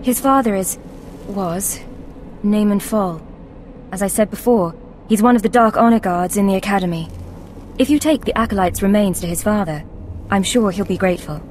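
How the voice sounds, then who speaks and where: A woman's voice: medium pitch, normal speed, a little mellow, lightly acted. A woman playing a solemn informant at a Sith academy in a video game. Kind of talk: video game dialogue acting. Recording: noisy game capture.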